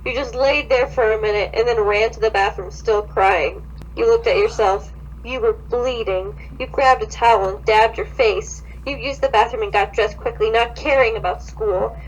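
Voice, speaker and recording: Casting: woman podcaster, fairly high voice, sarcastic and sweary, casual comic read-aloud, hummy noisy recording. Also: normal speed, dull audio. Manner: sarcastic, sweary, blunt commentary. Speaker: podcast co-host reading fan fiction aloud. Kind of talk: casual comic banter and mock-dramatic reading.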